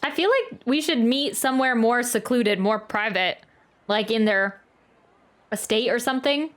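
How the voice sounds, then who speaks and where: High-voiced, chatty woman streamer. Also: moderate pace, a bit bright. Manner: chatty, musing aloud. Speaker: game streamer. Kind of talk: casual live reaction commentary. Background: game music.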